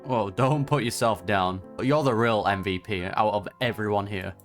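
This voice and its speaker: A man's voice: on the low side, moderate pace, soft background music. A man giving casual reaction commentary on a video game, conversational and sympathetic in manner. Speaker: gaming reaction streamer.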